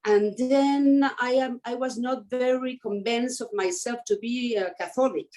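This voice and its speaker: A woman, speaking English as an as an interview guest, often pausing and restarting to find her words. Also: a little high, a little mellow. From Mexico. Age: 50s. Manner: hesitant, searching for words with many restarts. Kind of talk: conversational interview.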